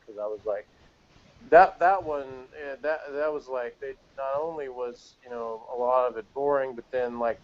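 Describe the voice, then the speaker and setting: A middle-aged man giving a casual, opinionated review in a rambling, halting way that keeps interrupting itself. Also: mid-range voice, darkish tone, lo-fi sound. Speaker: podcast panelist reviewing TV episodes.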